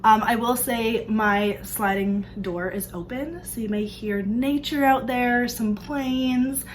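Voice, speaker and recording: A woman's voice: on the high side, slow, slight background noise, low-quality recording. A young woman with a slightly stuffed-up voice from allergies, chatting casually about books she read, full of "like" and "um". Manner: chatty, upbeat, frequent "like" and "um". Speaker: book vlogger. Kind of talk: casual book review chat. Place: room with open sliding door. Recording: faint outdoor ambience, birds, planes.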